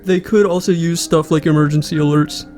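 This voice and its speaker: Flat-toned, higher-voiced young man. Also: fairly quick. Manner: informal, matter-of-fact. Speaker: video creator narrating commentary. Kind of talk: casual explainer commentary. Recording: voice over video game background music. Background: video game music.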